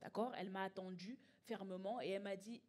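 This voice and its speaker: A woman's voice: medium pitch, fairly even intonation, fairly quick, a little mellow. A woman tells stand-up stories in an emphatic, conversational way, acting out dialogue. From Belgium. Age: early 20s. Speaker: stand-up comedian. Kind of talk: live stand-up storytelling. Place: comedy club stage. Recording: live stage with audience laughter. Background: audience laughing.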